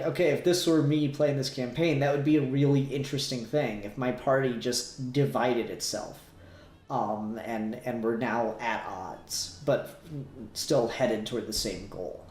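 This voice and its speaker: A man's voice: mid-range voice, a little mellow. A man casually discussing a review as a podcast co-host, rambling and thinking aloud as he goes. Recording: roomy echo, clear background noise.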